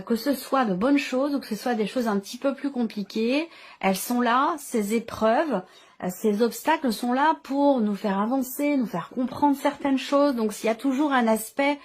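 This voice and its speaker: A woman giving an improvised tarot reading to viewers, explaining as she goes and often rephrasing as she searches for words. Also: on the high side. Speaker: tarot reader and channel host.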